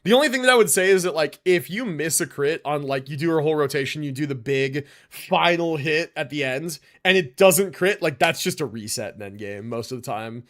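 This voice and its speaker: A man hosting a livestream, casually reviewing game builds in relaxed, slangy talk as he thinks aloud. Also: a little high, brisk, hardly any pauses. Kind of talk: casual gaming livestream commentary.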